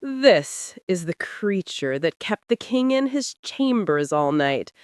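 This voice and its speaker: Give the part of a haughty, cold noblewoman performed by a female narrator in a fantasy audiobook. She drawls with scorn and quiet menace, her lines swinging in pitch, close and dry. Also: sing-song, takes it slowly, clean tone, no room sound. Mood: smug, menacing.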